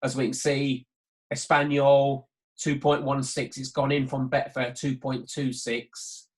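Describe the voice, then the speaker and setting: A man's voice: medium pitch, flat delivery, measured pace. A man giving a live market walkthrough as a betting trading tutor, thinking aloud and often repeating himself. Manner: hesitant, repetitive, thinking aloud. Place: online conference call.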